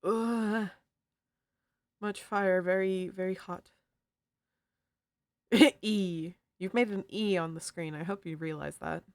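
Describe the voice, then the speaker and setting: A feminine-voiced streamer chatting casually while drawing, giggling often and cracking silly jokes. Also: female voice, medium pitch, fairly even intonation, slow pace, pauses often, no echo. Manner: giggly, jokey, laughs often.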